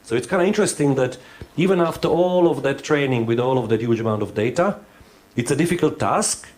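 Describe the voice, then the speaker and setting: A man's voice: medium pitch, a little fast, a little mellow. A man who is not a native English speaker, giving a hands-on workshop lecture. He thinks aloud and halts often, restarting his sentences.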